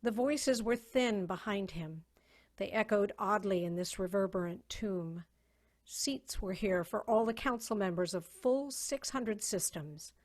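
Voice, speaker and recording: A woman narrating a story in a solemn, measured, theatrical way, as a dramatic reading over a video call. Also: mid-range voice.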